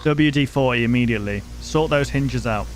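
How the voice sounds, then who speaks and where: A man's voice: mid-range voice, a little fast, a little hiss or hum. A man giving blunt, informal running commentary as a reaction video host, sometimes reading text aloud.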